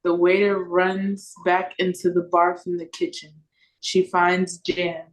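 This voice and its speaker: A woman's voice: fairly low pitch, little pitch movement, takes it slowly, low-quality recording. A woman reading scripted stage directions for an audio play over a video call.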